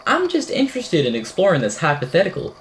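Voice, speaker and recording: A woman's voice: sits low, wildly swooping pitch, quick pace, a little hiss or hum, full character voice. A woman playing an eccentric, whimsical podcaster in an amateur audio drama, telling a strange tale in a verbose, wry, theatrical way. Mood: musing, wry. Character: eccentric, whimsical podcaster recounting a supernatural statement.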